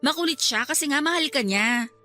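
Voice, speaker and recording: A frank, teasing younger sister, bright voice. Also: female voice, sits high, expressive, a little fast, soft background music. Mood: frank, reasoning. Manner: candid, chiding, playful. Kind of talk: radio drama dialogue in Filipino.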